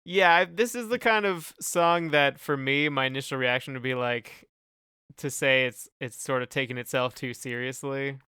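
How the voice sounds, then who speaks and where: A man's voice: medium pitch, moderate pace, pushed and bright, smooth, dry recording, studio quality. A man co-hosting a podcast in casual discussion, hedging and thinking aloud as he goes. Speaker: podcast co-host. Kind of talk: casual discussion of song lyrics.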